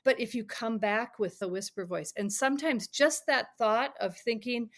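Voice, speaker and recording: A middle-aged woman, a parent coach, speaking as a podcast guest in an earnest, advising way, sometimes dropping to a soft whisper to demonstrate. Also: a little high, normal speed.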